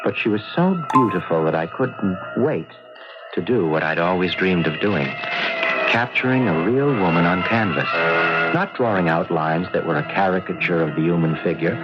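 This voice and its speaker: An actor playing a timid, earnest, lovestruck artist, halting and politely bookish, in an old radio drama. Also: male voice, low voice, lightly acted. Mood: rapt, wistful. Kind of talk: old radio drama acting with confessional narration. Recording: muffled old radio drama, loud music behind.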